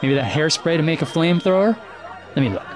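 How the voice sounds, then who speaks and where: An actor playing a cocky high school bully in an audio drama, taunting and slangy. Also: male voice, animated, quick pace, natural voice. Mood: goading. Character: cocky bullying male high school student, brash, goading. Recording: loud music and crowd behind.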